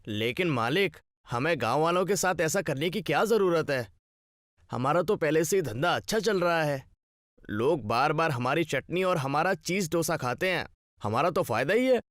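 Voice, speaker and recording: A man playing an honest, hardworking village cook with an earnest manner in an animated story. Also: a little high, moderate pace, dry recording, clean studio sound. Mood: pleading. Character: honest, hardworking young male dosa cook. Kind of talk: animated moral story dialogue in Hindi. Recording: music behind the voice. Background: background music.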